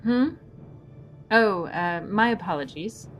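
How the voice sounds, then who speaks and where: Actress as polite swashbuckling heroine, medium pitch, wry, composed, philosophical, audio drama over music. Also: female voice, lively intonation, takes it slowly. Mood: wryly musing. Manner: polite, unruffled, wryly philosophical. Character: composed, courteous, witty female masked swashbuckling heroine.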